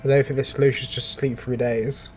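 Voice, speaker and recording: Raspy game streamer thinking aloud. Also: male voice, medium pitch, little pitch movement, quick pace, darkish tone, slight background noise. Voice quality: a bit raspy. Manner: rambling, thinking aloud with many likes. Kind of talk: casual live-stream commentary. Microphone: desk mic.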